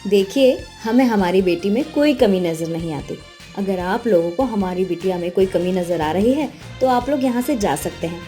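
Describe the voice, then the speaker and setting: Loving, firm mother played by a woman, story cartoon: dark mellow voice, emphatic, soft music behind. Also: medium pitch, animated, normal speed, lightly acted. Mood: indignant, firm. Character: protective, loving older mother, proud and firm. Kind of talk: animated moral-story dialogue.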